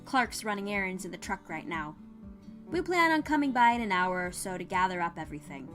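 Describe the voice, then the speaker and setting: A woman voicing a cheerful, calm young mailwoman from a small town, friendly and confident, in an audio story. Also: medium pitch, brisk, natural voice. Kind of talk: audio fiction character dialogue. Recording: music under the voice.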